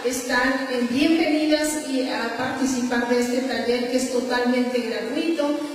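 A woman's voice: high-pitched, fairly even intonation, measured pace. A woman giving a formal welcome speech and thanks as an event organizer. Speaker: festival organizer giving opening remarks. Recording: very echoey hall, distant mic, noisy.

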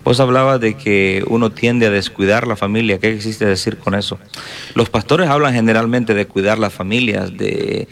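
A man's voice: on the low side, normal speed, gravelly, a bit bright. A man hosting a conversational interview, echoing and affirming his guest. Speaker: interviewer, host.

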